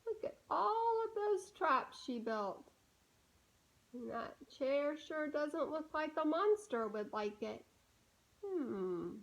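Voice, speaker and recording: A woman reading a storybook aloud to young children, animated and deliberate, pausing to put questions to her listeners. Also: high voice, poor audio.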